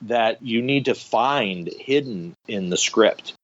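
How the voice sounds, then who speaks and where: A man's voice: fairly low pitch, animated, slow pace, muffled recording. A man speaking as a radio theatre director in an interview, explaining things conversationally, step by step and with examples.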